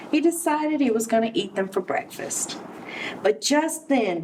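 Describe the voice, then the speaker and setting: Woman, children's read-aloud storytelling, expressive, very lively pitch, explanatory, distant mic, background noise. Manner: expressive, explanatory asides to young listeners. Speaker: Head Start center director reading to children.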